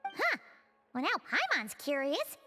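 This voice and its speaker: A woman voicing a tiny, childlike, chirpy fairy companion in a video game, squeaky and exclaiming. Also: wildly swooping pitch, takes it slowly, pushed and bright, full character voice. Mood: chatty, curious. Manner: squeaky, exclaiming, refers to self in third person. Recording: music behind the voice.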